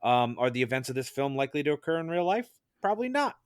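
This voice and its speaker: A man's voice: mid-range voice, sing-song, quick pace, clean tone, dry recording, studio quality. A man hosting a podcast in casual chat, with a teasing, interjecting manner. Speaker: podcast host.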